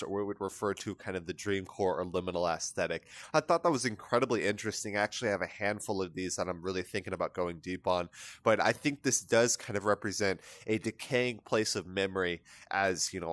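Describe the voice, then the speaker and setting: Low-voiced man talking through art, dry sound. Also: fairly bright tone, no echo. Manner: rambling, many fillers like "kind of" and "you know". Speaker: art analysis host. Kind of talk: casual spoken art commentary. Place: voice-over on a screen recording of an image viewer.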